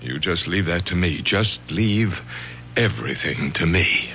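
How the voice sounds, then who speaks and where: A man's voice: low voice, lively intonation, measured pace, sharp tone, slight background noise, heavily acted. An actor playing a controlling, menacing professor father who gives urgent orders and stammers over his threats in a radio drama. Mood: tense. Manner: commanding, urgent, then stammering to take back threats. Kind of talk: 1970s radio drama acting. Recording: dull lo-fi old radio broadcast.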